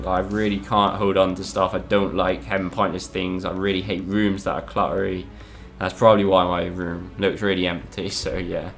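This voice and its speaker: A man's voice: sits low, creaky. Laid-back young man answering viewer questions. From Spain. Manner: relaxed, hesitant, full of ums and "I don't know". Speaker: gaming YouTuber.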